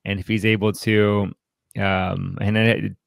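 A man hosting a podcast, discussing in a casual way, haltingly, often repeating words and saying "like". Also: on the low side, little pitch movement, vocal fry, no room sound, clean studio sound. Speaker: podcast host.

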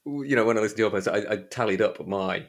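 A man with a deep, somewhat rough-edged voice hosts a casual book discussion. He talks haltingly, doubling back and repeating phrases as he works through his thoughts. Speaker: podcast host.

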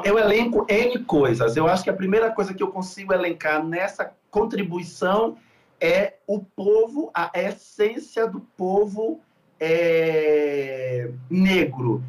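A man from Salvador, a podcast guest talking in an earnest, reflective way with many fillers. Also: high-pitched. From Salvador, Bahia, Brazil. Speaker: guest, food researcher. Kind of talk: podcast interview.

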